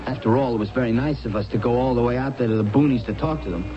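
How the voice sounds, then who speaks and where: An actor playing a desperate, obsessive young husband in a 1970s radio drama, talking insistently and colloquially. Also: male voice, mid-range voice, rapid-fire, over music, lightly acted. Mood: stubborn. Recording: noisy, muffled 1970s radio broadcast.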